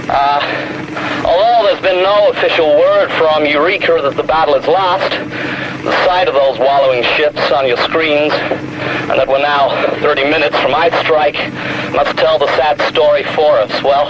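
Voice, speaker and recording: Over clear background noise in a muffled broadcast, an actor plays a male live reporter in a radio drama. He is solemn and dramatic, with a fairly high voice for a man. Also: close to an everyday voice. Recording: dull band-limited broadcast with outdoor noise.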